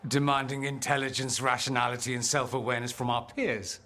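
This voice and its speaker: A man's voice: medium pitch, little pitch movement, fairly quick, bright, forceful tone, natural voice. A man voicing a flamboyant, witty mage rebel leader in a video game, theatrical and eloquent, with a wry edge. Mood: dry, teasing. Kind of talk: video game character dialogue.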